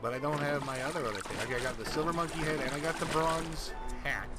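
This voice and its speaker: Man commenting over loud game music. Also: a little high, fairly even intonation, fast talker. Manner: rambling, thinking aloud. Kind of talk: casual let's play commentary.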